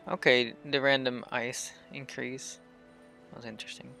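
A man's voice: medium pitch, flat delivery, normal speed, vocal fry, bright, forceful tone, soft background music. A young man streaming a game, chatting casually with viewers and commenting on his play. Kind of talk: casual live stream chat and gameplay commentary.

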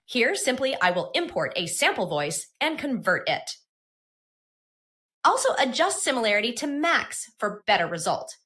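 A woman presenting software in a scripted tutorial narration. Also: a little high, very animated, moderate pace.

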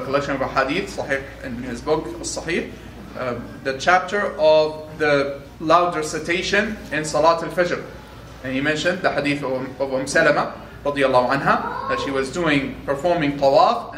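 Slow, deliberate male interpreter in an echoey room. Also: on the high side, a bit bright. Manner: slow and deliberate, with restarts and fillers as he rephrases. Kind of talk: consecutive English interpretation. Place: room seated at a desk. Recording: echoey room, mic at a distance, faint noise.

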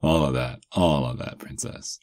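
A man's voice: extremely low pitch, gravelly, no room sound. A man playing a doting, teasing vampire lover in an intimate, murmured ASMR roleplay, close to the microphone. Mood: pleased storytelling. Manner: slow, intimate murmuring with soft chuckles. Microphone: close microphone, dry.

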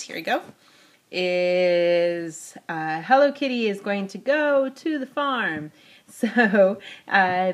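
A middle-aged woman casually narrating a toy unboxing at a tabletop, chatty and full of fillers. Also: fairly low pitch. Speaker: toy review vlogger. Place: at a tabletop, off camera.

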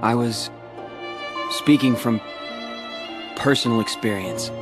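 A man's voice: mid-range voice, slow, music in the background, close to an everyday voice. A young man playing a cool, determined teenage hero in a video game, earnest in delivery. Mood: reflective. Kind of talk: video game cutscene acting.

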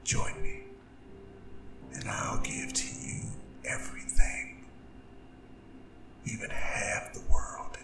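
A man playing a proud, vengeful and seductive demon king in an ASMR audio drama, speaking in a breathy, gravelly half-whisper with slow, grandiose delivery. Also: lightly acted. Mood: proud, persuasive. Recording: roomy reverb, distant, music behind. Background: music.

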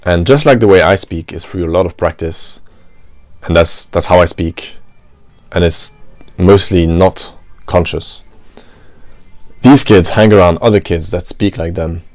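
A man's voice: low voice, measured pace, darkish tone, no room sound, soft background music. A man from France with a French accent, hosting a solo podcast and thinking aloud, often adding "you know" and "right". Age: adult, works at a restaurant. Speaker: podcast host. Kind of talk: reflective solo monologue.

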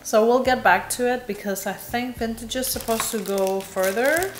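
A young woman from Indonesia reviewing perfumes in casual, candid chat, laughing at herself, filmed in a small bright room. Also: fairly high pitch, fairly even intonation, moderate pace, reverberant, over music. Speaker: beauty vlogger.

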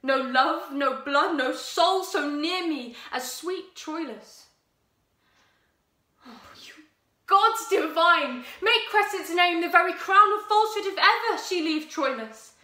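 A woman's voice: extremely high pitch, lively intonation, takes it slowly, bright, forceful tone, voice pushed up, full character voice. A young woman playing a lovestruck young woman, declaiming impassioned Shakespearean verse in an audition. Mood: passionate. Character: young woman vowing loyal love. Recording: echoey room.

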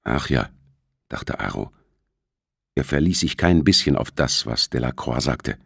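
A man's voice: a bass voice, expressive, a little slow, creaky, a bit bright, slightly rough, dry recording, studio quality. A man reading a thriller as an audiobook narrator, in scripted narration.

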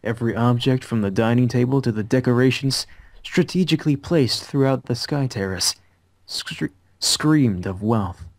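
A man's voice: medium pitch, normal speed, dry recording. A man reading visual novel narration aloud as the narrator of a fan dub.

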